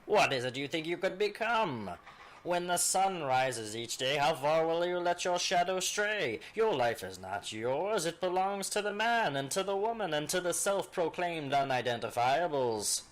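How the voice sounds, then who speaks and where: Man as a preachy TV voice, high-pitched, wildly animated, pompous, theatrical audio drama declaiming. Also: a little slow, poor audio. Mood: grandiose, preaching. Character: preachy television voice, grandiose and accusing. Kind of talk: theatrical scripted monologue.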